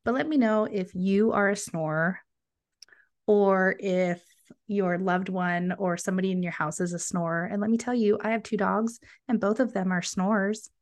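A woman's voice: mid-range voice, steady tone, moderate pace. A woman co-hosting a podcast, chatting enthusiastically and speaking straight to her listeners. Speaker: podcast co-host. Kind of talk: casual podcast chat.

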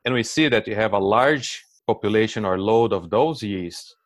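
A man's voice: lowish voice, normal speed, no room sound. A man in his forties from Brazil, speaking with a Brazilian Portuguese accent as a guest expert in a casual interview, explaining things with many fillers and restarts.

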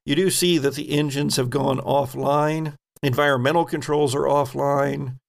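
A man narrates a tabletop game in an unscripted, halting way. His voice is mid-pitched with a noticeable fry in it. He explains outcomes and rules as he thinks them through. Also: fairly even intonation. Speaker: game master of a tabletop role-playing podcast.

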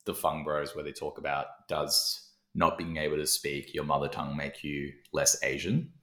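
A man's voice: a bass voice, level tone, normal speed, a bit bright. A man in casual conversation as a podcast co-host, reflective and thinking aloud with fillers. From Australia. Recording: roomy echo.

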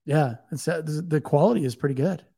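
A man's voice: a little high, fairly quick, creaky, warm tone, slightly rough. A man hosting a podcast in a laid-back, slangy, encouraging way. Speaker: podcast host. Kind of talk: casual podcast chat.

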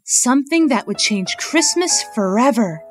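A woman's voice: a little high, expressive, measured pace, faint music behind. A woman narrating a radio play in a scripted storybook style. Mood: storytelling. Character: storybook narrator of a holiday tale.

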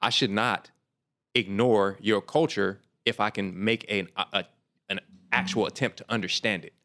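A man in a casual discussion, thinking aloud in a reflective, measured way as he makes his points. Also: lowish voice, fairly even intonation, pushed and bright.

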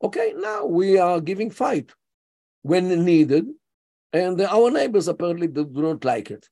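Older man, Israeli accent, interview guest, dark mellow voice, lots of uhs, emphatic. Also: high voice, big pitch swings, a little slow, creaky. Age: 70s. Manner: hesitant with many fillers, emphatic. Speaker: guest scholar. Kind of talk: interview conversation.

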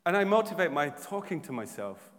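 A man preaching a sermon, turning from quiet reflection to loud, humorous exhortation. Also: a little high, very animated, a little fast. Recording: long hall reverb.

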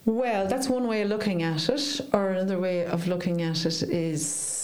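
A woman's voice: mid-range voice, normal speed, darkish tone. A middle-aged woman with an Irish accent, chatting as a podcast guest, often trailing off and restarting her thoughts. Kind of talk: casual conversation.

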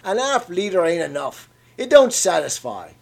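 A grumbling old codger. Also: male voice, high voice, big pitch swings, moderate pace, gravelly, pitched above the performer's own voice, full character voice. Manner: querulous, pugnacious, dropped aitches. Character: grumbling old London working man, stubborn.

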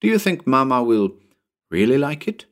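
A man's voice: medium pitch, sing-song, moderate pace, pitched above the performer's own voice, close to an everyday voice. A man voicing an innocent, earnest little boy in a storybook, childlike and pleading. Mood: appealing.

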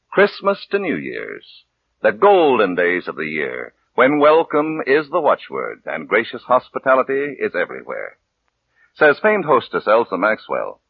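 A man reads a vintage radio commercial as the announcer. His medium-pitched voice is husky and swoops expressively through the polished sales copy. The old recording sounds thin and phone-like. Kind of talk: polished 1940s radio advertising read. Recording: narrow, tinny 1940s radio recording.

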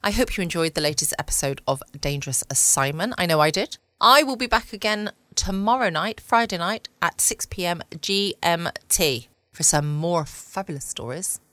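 A woman's voice: lowish voice, expressive, normal speed, fairly bright tone, dry recording. A British-accented woman hosting a bedtime podcast, chatting casually at home. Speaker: podcast host. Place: at home, dry close recording.

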